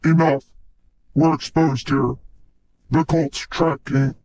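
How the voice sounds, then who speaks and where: A man playing a grim, menacing cyborg in game-style dramatic lines, with pitch-shifted robotic processing. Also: steady tone, takes it slowly, darkish tone, no echo. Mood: grim warning.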